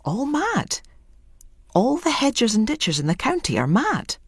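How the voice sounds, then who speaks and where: A woman's voice: fairly high pitch, huge pitch swings, a little slow, breathy edge, no echo, studio quality, pitched above the performer's own voice, exaggerated voice. A woman voicing an inquisitive, polite but uneasy female newcomer in an audio story, asking short eager questions. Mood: puzzled, probing.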